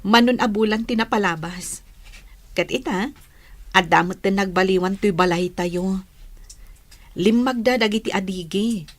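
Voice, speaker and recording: A woman with an Ilocano accent acting a patient, forgiving young wife and mother in a radio drama, gentle and measured. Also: medium pitch, close to an everyday voice. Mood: content, reassuring.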